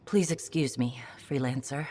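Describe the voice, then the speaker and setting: A woman's voice: extremely low pitch, moderate pace, dark tone, a little hiss or hum. An actress playing a scholarly middle-aged aunt who insists on her memories. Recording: game audio with music behind.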